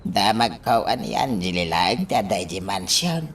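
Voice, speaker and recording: A man acting as a loyal, excitable older uncle in an Ilocano radio drama, with an Ilocano accent and frequent exclamations. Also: on the low side, moderate pace. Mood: concerned, urging. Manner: exclamatory, sighing interjections. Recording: distant mic, faint background noise.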